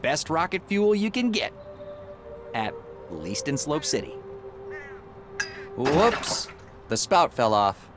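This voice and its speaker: An actor playing an earnest, excitable young rocket inventor in an adventure game, with a boyish, easily flustered, eager manner. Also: male voice, on the high side, slow, long pauses, over music. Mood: musing.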